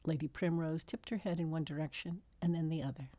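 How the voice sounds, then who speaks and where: A woman's voice: sits low, steady tone, brisk, dark tone, dry recording. A woman author reading her own fiction as scripted storybook narration.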